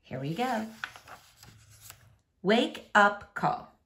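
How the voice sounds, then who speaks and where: A woman reading a novel in verse aloud in an expressive storytelling style. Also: mid-range voice, drawn-out words, a little hiss or hum. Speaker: read-aloud reader.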